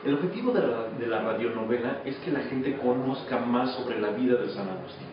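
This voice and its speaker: A man's voice: mid-range voice, animated, brisk. A man in his thirties with a Mexican accent, an actor answering casually in an interview. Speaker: radio drama actor being interviewed. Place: small office. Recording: echoey, distant, muffled, noisy camera audio.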